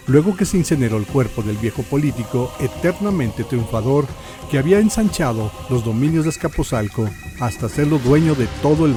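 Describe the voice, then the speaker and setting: A man with a Mexican accent reading scripted historical narration in a solemn, theatrical, literary manner. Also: medium pitch.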